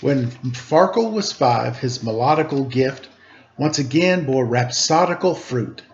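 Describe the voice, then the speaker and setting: Lively man reading a children's story aloud. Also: mid-range voice, measured pace, a little hiss or hum. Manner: expressive, playful sing-song delivery. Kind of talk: animated read-aloud storytelling with rhyming verse. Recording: slightly dull, lo-fi home recording.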